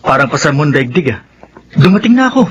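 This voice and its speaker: Flirty cheating husband, lo-fi. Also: male voice, a little high, big pitch swings, quick pace, breathy edge. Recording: dull lo-fi radio sound.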